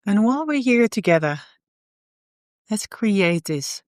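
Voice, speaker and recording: A woman leading a guided meditation in a soothing, unhurried way, often pausing in the middle of phrases. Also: mid-range voice, sing-song, no echo, studio quality.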